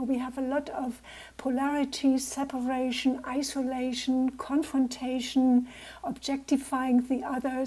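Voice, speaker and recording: A woman in her sixties from Germany, with a German accent, speaking gently and reflectively as a guest speaker to a club. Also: high voice. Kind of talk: personal talk to a club.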